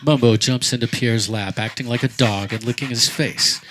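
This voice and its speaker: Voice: mid-range voice, fairly even intonation, moderate pace, a bit bright, slight background noise. A narrator reading scripted stage action for a comedic radio play.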